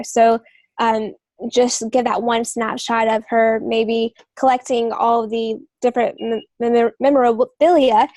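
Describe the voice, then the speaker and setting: A woman's voice: fairly high pitch, a little mellow, no room sound. A woman co-hosting a podcast, giving writing advice in an informal, explanatory, conversational way. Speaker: podcast co-host, novelist.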